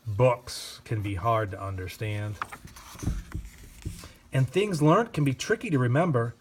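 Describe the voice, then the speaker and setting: A man reading a children's picture book aloud with gentle, expressive storytelling. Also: medium pitch, a little slow, background noise. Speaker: reader.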